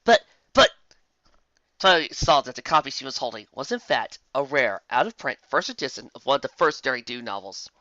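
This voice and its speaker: Story narrator, medium pitch, bright tense tone, dramatic scripted reading, occasional stumbles, dry slightly muffled home recording. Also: expressive, fairly quick, few pauses, no echo. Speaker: fan fiction narrator.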